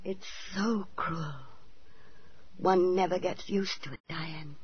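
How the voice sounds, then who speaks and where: A woman's voice: fairly low pitch, fairly even intonation, takes it slowly, slightly rough, dry recording, exaggerated voice. A motherly old former actress, old radio. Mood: motherly, consoling. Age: older. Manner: motherly, theatrical. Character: kindly older former actress, landlady recalling a tragedy. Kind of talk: 1940s radio acting, dramatic narration. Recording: dull 1940s radio recording with music.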